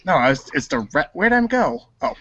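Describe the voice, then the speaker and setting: A man's voice: sits high, big pitch swings, brisk, sharp tone, dry recording, muffled recording. A man acting in a comic reader's theater show, goofing around and stumbling through his lines with ad-libs. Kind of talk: comic table read with ad-libs.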